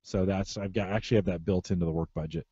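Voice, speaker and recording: A man's voice: deep voice, steady tone, fast, warm tone, slightly rough, dry recording, dull audio. A middle-aged American man hosting a casual tech podcast, repeating phrases for emphasis. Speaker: podcast host. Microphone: close podcast microphone through mixer.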